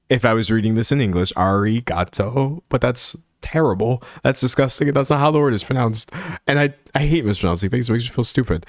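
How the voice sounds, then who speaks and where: A man's voice: mid-range voice, dry recording, studio quality. Laughing, self-deprecating Texan streamer man. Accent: Texan American. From San Antonio, Texas. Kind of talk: casual livestream chat reading comments.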